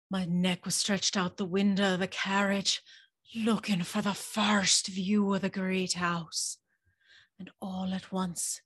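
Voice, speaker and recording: A woman's voice: lowish voice, fairly bright tone, slightly rough. An actress playing a timid, polite young servant girl in an audio drama, earnest and anxious, with old-fashioned phrasing. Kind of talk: audio drama acting and storytelling.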